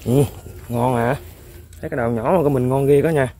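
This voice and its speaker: A man, excitedly commenting to viewers while fishing on a riverbank. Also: medium pitch, quick pace. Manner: excitable, exclaims often, chuckles. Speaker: fishing vlogger. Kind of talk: casual outdoor commentary to viewers. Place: outdoors by a riverbank.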